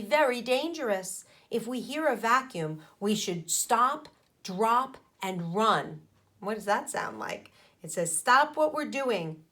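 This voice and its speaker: Slow-paced woman teacher reading aloud to children. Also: a little high, fairly bright tone. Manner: didactic, pauses to question the young listeners. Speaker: elementary school teacher. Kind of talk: children's read-aloud with explanatory asides.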